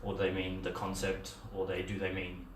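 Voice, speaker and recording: A man's voice: sits low, completely flat delivery, normal speed, slight background noise. A middle-aged man with an Australian accent gives a meetup talk in a casual, conversational way, full of fillers and restarts. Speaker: meetup speaker. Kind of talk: conference talk. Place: dim meetup room with a projector screen. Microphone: far camera. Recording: echoey room, distant mic.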